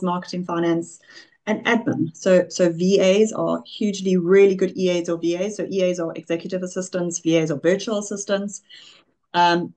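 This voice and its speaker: A middle-aged South African woman answering interview questions, briskly listing examples. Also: fairly low pitch, darkish tone. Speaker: guest, offshoring business founder. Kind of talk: conversational interview.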